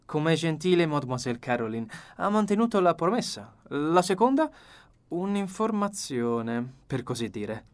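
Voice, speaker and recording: A man's voice: on the high side, big pitch swings, moderate pace, clean tone, voice pushed up. A man voicing a courteous, fussy older detective in an audiobook, effusive, precise and polite. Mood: confiding, shrewd. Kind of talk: audiobook character dialogue.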